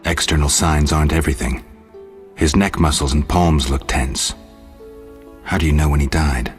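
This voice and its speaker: A stoic monster-hunting man from a fantasy game. His voice sits extremely low and gruff. He speaks in terse, deadpan, sardonic lines, with music underneath. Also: moderate pace. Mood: analytical, deadpan. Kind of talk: video game voice acting.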